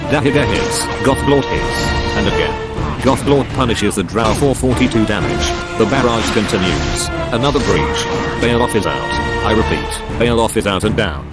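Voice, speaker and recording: A man's voice: lowish voice, animated, normal speed, close to an everyday voice. A man playing an arena commentator, delivering sports-style play-by-play. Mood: hyped. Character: excited male arena fight commentator. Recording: loud music under commentary.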